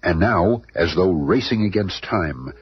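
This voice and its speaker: A man's voice: low voice, expressive, a little slow. A man narrating a comic-book radio drama in a grandiose, suspenseful style. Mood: urgent, grandiose. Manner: bombastic, suspenseful. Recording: dull, noisy old radio recording.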